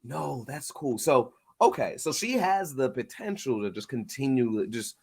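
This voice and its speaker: A man hosting a show, chatting casually in an informal, halting way as he thinks out loud. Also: mid-range voice, lively intonation, crowd noise. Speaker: host of a comics breakdown show. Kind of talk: casual interview chat.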